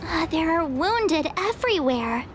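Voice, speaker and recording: A woman's voice: extremely high pitch, sing-song, measured pace, soft background music, full character voice. A woman playing a perky, cheeky teenage girl adventurer in a game, bubbly and informal. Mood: bright, eager. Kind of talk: scripted game dialogue.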